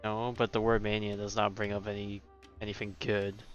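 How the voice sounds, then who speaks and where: A man's voice: on the low side, monotone, normal speed, lo-fi sound, soft background music. A man giving casual, offhand reaction commentary as he streams a video game. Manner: offhand, chatty.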